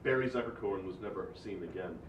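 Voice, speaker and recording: A man reading the narrator part of a comedy script in a deadpan mock-documentary way. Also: low voice, quick pace, a little hiss or hum. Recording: distant, roomy, dull audio.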